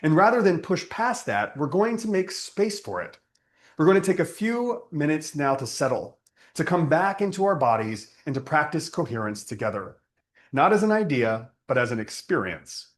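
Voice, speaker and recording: Expressive man leading prayer, deeper-pitched delivery avoided. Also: normal speed. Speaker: spiritual service leader. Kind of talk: prayer and spiritual teaching.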